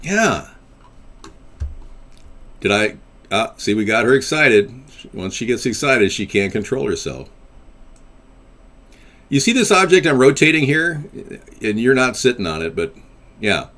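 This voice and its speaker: A man's voice: mid-range voice, big pitch swings, a little slow, long pauses, fairly bright tone. A man hosting a casual call-in web show, rambling and crude, calling people buddy. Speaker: host and presidential candidate.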